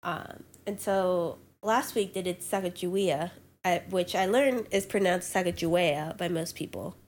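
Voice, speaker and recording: A woman's voice: lowish voice, a little slow, a little hiss or hum. A young woman co-hosting a casual podcast chat, sniffly from allergies, blunt and swearing freely. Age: young adult. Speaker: podcast co-host.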